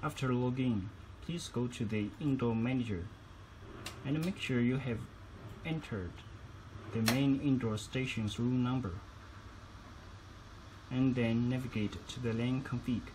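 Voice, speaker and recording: A man's voice: medium pitch, a little slow, long pauses, darkish tone, a little hiss or hum, rough recording. A man giving instructional tutorial narration as a product demonstrator, phrasing each step carefully.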